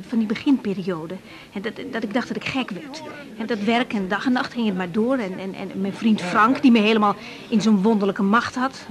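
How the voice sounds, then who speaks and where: An actress playing a teasing, affectionate young bride and doctor in a radio drama, with a Netherlands Dutch accent. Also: female voice, on the high side, close to an everyday voice. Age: young adult. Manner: teasing, animated, stammers when flustered.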